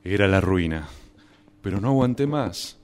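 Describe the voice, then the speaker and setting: A man's voice: deep voice, animated, moderate pace. An actor with an Argentine accent playing an anguished, obsessive, melodramatic man in a radio drama, stammering at times. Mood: rueful storytelling. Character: anguished, obsessive man deprived of his beloved mate. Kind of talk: radio drama acting with first-person narration.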